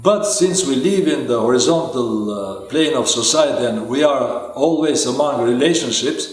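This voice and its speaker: A non-native English-speaking man delivers a motivational lecture as a life coach, pausing often and filling the gaps with hesitant sounds. His voice sits at an ordinary middle pitch. The recording carries heavy echo, as if made in a bare, reverberant room. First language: not English. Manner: slow and deliberate with frequent hesitations and fillers. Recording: very echoey room.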